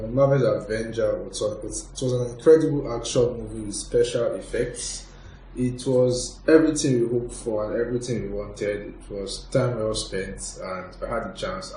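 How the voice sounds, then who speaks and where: A man's voice: fairly low pitch, fairly even intonation, brisk, mellow. A man speaking as a podcast guest, casually giving his opinions in a film review discussion. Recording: very echoey, distant mic, background noise.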